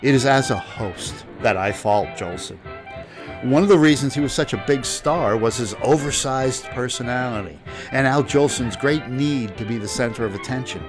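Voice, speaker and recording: A man hosting a radio history show, delivering scripted commentary. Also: medium pitch. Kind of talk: scripted narration. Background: music.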